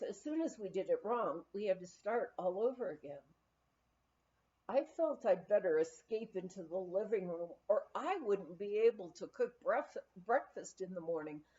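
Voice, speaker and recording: A woman reading a memoir aloud in an expressive storytelling style. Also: medium pitch, a little slow, muffled recording. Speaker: audiobook reader.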